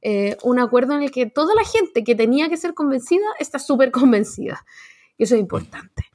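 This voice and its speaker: A Chilean woman in her forties with a Chilean accent, talking casually on a panel, animated and slangy with many fillers and restarts. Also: sits high, fairly quick. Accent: Chilean Spanish. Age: 40s. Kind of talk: casual radio panel chat.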